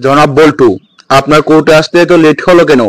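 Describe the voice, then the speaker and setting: Man playing a high-voiced scolding cartoon judge. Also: steady tone, a little fast, slight background noise, natural voice. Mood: stern. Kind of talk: comedy cartoon joke skit dialogue.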